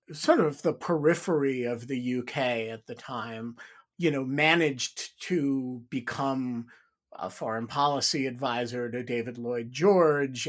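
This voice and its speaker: A man hosting a podcast, asking a long, thoughtful question with halting restarts. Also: medium pitch, a bit bright. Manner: thoughtful, halting, repeats words. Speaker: podcast host. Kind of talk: interview question.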